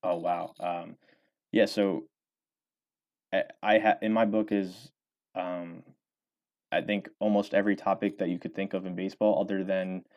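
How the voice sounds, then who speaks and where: Young male author, very low voice, loose rambling delivery with lots of ums. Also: level tone, normal speed, pauses often, muffled recording. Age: 20s. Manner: rambling, many fillers and restarts. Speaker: author and former college baseball player. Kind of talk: casual interview answers.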